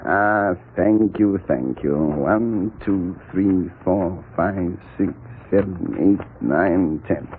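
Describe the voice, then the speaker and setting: An actor playing a genteel, self-loathing blackmailer in a 1940s radio drama, speaking in a mannered, fussily polite, husky way. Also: male voice, deep voice, extremely slow, slight background noise. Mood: polite, cautious. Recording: muffled, narrow-band 1940s radio recording.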